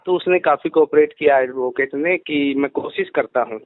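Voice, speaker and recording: A man's voice: on the high side, moderate pace, no echo. A man giving explanations in a phone interview as an investigator, emphatic and repeating his phrases. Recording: tinny, dry phone-call line.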